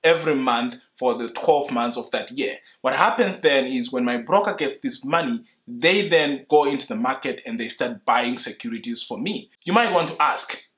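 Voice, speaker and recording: A young man from Zimbabwe, explaining his investing approach to camera in an earnest, measured way, seated indoors. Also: medium pitch, lively intonation, fairly bright tone. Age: young adult. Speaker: investing YouTuber. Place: indoor room in front of a leather sofa.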